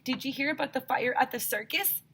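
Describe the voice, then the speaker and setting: A woman's voice: high voice, a little fast, bright, forceful tone, slight background noise, voice pushed up. A woman voicing an odd, dreamy female firefighter who is fascinated by fire, in a children's storybook. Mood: jokey.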